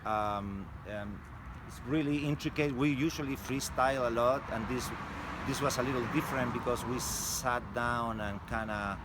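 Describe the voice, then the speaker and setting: A man in his forties with a Chilean Spanish accent talks through an outdoor interview at a middle pitch. He speaks haltingly, full of "you know, like" and restarts. Loud street traffic runs under everything he says. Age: 40s. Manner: halting, many fillers and restarts. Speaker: street artist, interview guest. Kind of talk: casual interview.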